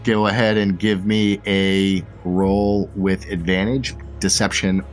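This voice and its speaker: A man running a tabletop game as game master, relaxed and full of fillers. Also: deep voice, slow pace, soft background music.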